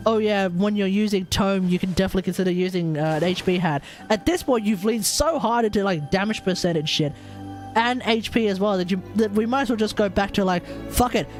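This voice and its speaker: A game streamer chatting casually and rambling through builds in an incredulous, sweary way. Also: mid-range voice. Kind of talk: casual livestream chat about game builds.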